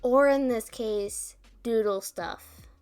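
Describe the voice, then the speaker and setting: A woman playing a rambling, self-deprecating roommate in a casual ASMR roleplay, talking in a halting, defensive, conversational way. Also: on the high side, a little mellow, smooth, faint music behind. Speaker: audio roleplay performer and script writer.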